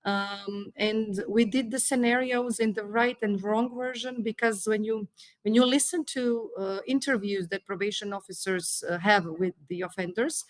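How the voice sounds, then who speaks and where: A woman from Croatia with a Croatian accent, a probation trainer answering interview questions in careful English, pausing often with fillers and restarts. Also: mid-range voice, steady tone, no room sound, low-quality recording. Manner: hesitant, many fillers and restarts.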